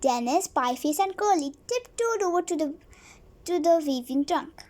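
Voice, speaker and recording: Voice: high voice, animated, normal speed, a little mellow. A child reading a storybook aloud, stumbling over words and repeating phrases now and then.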